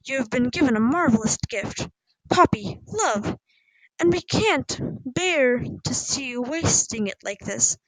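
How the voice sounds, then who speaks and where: A woman's voice: sits high, expressive, measured pace, fairly bright tone, no echo, full character voice, poor audio. Woman playing mild storybook father, middle-aged, placating, feeble authority, dry close recording. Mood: sad agreement.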